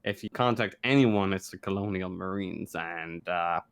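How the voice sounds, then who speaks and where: A man running a tabletop sci-fi roleplaying game as game master, casual and halting as he thinks aloud. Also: low voice. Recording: faint background noise.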